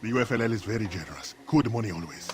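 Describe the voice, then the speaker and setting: An actor playing a brash, suspicious militia boss in a video game, blunt and swaggering. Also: male voice, lowish voice, expressive, racing, background noise, lightly acted. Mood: gloating. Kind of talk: video game cutscene acting. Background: music.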